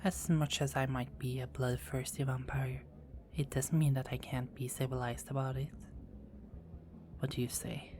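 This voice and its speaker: A woman's voice: a bass voice, level tone, moderate pace, noisy background. A woman voicing a seductive, dominant female vampire in an ASMR roleplay, speaking in teasing, close whispers.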